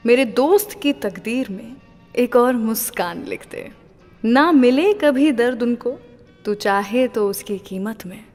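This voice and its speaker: A woman hosting a classic-songs radio show, chatting through anecdotes between songs and reciting a short couplet. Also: a little high. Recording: long reverb with music underneath.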